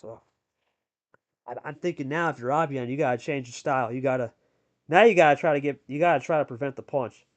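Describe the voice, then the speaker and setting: A man's voice: mid-range voice, fairly quick. A man giving live sports-style commentary on a card game as host, talking colloquially and addressing players like buddies.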